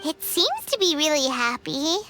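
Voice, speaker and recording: A woman voicing a tiny, excitable, bossy childlike fairy companion in a video game. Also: sits high, normal speed. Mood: curious. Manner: exclaiming, speaks of herself in third person. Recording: game music behind the voice.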